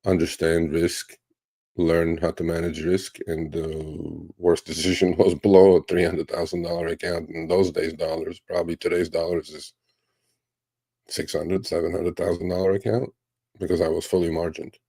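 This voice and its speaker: Deep gravelly man in his fifties. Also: moderate pace, dry recording. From Turkey. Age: 50s. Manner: relaxed, rambling storytelling with many fillers. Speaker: livestream host. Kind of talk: casual livestream Q&A.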